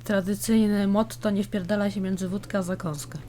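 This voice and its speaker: A woman chatting casually while playing a co-op video game, colloquial, joking and quick to swear. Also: mid-range voice, steady tone, mellow. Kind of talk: casual chat during co-op gaming. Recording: clear background noise.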